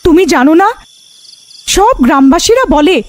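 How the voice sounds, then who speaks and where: Woman voicing a high, fretful village wife. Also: animated, normal speed. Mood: alarmed. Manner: exclaiming, questioning, fretful. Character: worried, anxious village wife.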